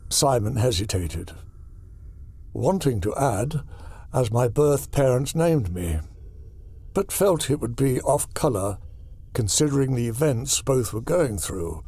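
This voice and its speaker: A man narrating a horror audiobook in a deliberate, dramatic storytelling style. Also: mid-range voice, animated, dark tone.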